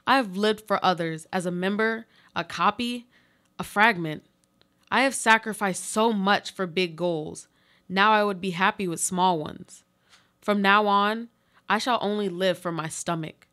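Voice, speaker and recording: A woman playing a desperate, pleading earthquake victim buried alive, in a deliberate, literary radio play monologue. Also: medium pitch, pushed and bright. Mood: cold, hungry, bitter. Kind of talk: live radio play monologue, read from a script.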